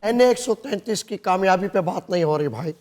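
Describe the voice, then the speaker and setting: A man with a hoarse throat, trading banter and telling jokes on a comedy talk show in a studio. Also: high-pitched, lively intonation, a little fast, a little mellow. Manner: keeps pushing jokes over protests.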